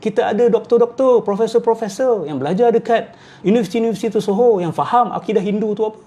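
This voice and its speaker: A man's voice: high voice, fast, dark tone. A middle-aged man giving off-the-cuff, argumentative religious commentary, repeating his phrases and firing rhetorical questions.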